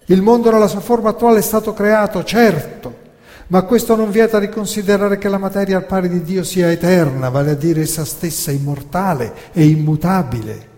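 Man acting a defiant philosopher on trial, raised pitch. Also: fairly quick, a little mellow, natural voice. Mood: defiant, insistent. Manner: emphatic, argumentative, rhetorical. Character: defiant accused male philosopher arguing before his judges. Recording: long reverberant hall sound.

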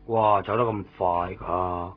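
A man playing an anxious, excitable friend of a sick man in a Hong Kong Cantonese radio drama from the 1990s. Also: on the low side, a little slow, close to an everyday voice. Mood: worried, subdued. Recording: dull, noisy old radio recording.

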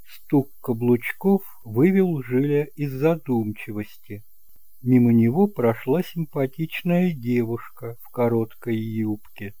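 A man's voice: medium pitch, mellow, no echo. A man reading scripted dramatic narration for an audiobook. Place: close, dry home recording.

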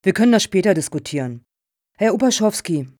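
A woman plays a proper panel chair in a satirical radio play. Her voice is mellow and medium-low, her manner formal, orderly and a little admonishing. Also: lively intonation, fairly quick, lightly acted. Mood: formal, controlled.

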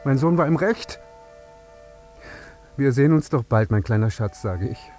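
An actor playing a grieving, vengeful father in a radio drama, speaking in a deliberate, accusing and repetitive way. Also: medium pitch, lively intonation, darkish tone, soft background music, natural voice. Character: grieving, vengeful father confronting an air traffic controller.

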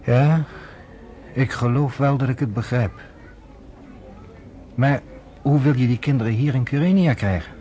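A man's voice: medium pitch, measured pace, pauses often, mellow, a little hiss or hum, lightly acted. An actor playing a curious, wry newspaperman in a radio play, with a casual, probing way of talking. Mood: sceptical, probing. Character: curious, wry newspaperman, sceptical but game.

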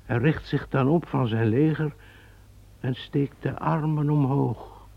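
An actor playing a mysterious lawyer who speaks ominously in an old radio play. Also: male voice, mid-range voice, takes it slowly, gravelly, dull audio. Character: Judas, a mysterious male lawyer who waits in ruins. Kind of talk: radio drama acting.

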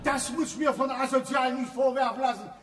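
A man's voice: very high-pitched, a little fast, music in the background. An actor playing a nosy, bullying male neighbour in a radio drama, scolding and barking from far off in an echoing stairwell. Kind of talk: German radio drama acting. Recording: distant, echoey stairwell reverb.

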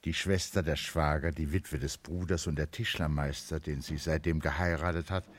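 A man narrating a literary radio play from a script in a measured, solemn manner. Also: rumbling low, steady tone.

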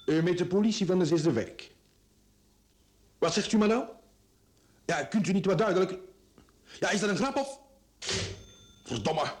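Radio drama: irreverent junior policeman, high voice, bouncy intonation. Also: lively intonation, moderate pace, pauses often, a little mellow. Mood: exasperated. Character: excitable, irreverent male policeman, junior to the inspector. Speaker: actor in a radio play. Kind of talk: Flemish radio drama acting.

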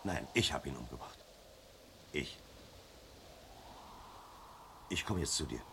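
An actor playing a desperate chief inspector and protective husband in a 1970s German radio crime drama, speaking with anguished intensity. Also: male voice, a bass voice, expressive, slow pace, exaggerated voice. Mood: shaken, soothing. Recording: noisy 1970s radio play recording.

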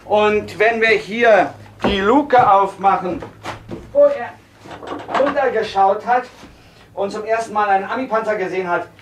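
A man's voice: sits high, a little slow, long pauses, noisy background. An actor playing a commanding, businesslike male film director who gives instructions in naturalistic radio drama dialogue. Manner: directing, hesitant asides, giving instructions.

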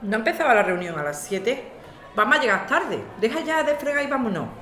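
A woman's voice: fairly high pitch, sing-song, a little fast, fairly bright tone, soft background music. A woman with an accent from Spain acting a helpful, protective neighbour in an audio drama. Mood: impatient.

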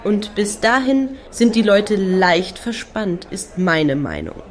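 Normal-pitched club girl, plain and a bit defensive, radio play. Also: very animated, slow pace. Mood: matter-of-fact. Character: matter-of-fact female club-goer.

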